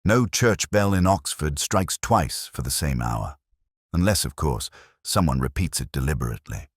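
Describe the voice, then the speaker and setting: A man playing a weary, melancholy English police detective, narrating a radio mystery in a measured, literary, introspective manner. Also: very deep voice, lively intonation, slightly rough, no echo, studio quality, heavily acted. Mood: sombre, reflective. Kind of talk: slow literary first-person detective narration, radio drama.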